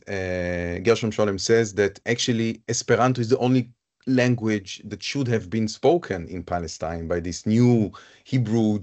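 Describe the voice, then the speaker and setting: A man with a fairly low voice moderates a live panel conversation. He speaks in a thoughtful, searching way and often goes back over words as he builds a question. His delivery moves forward at an unhurried pace. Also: darkish tone. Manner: thoughtful, searching, repeats phrases.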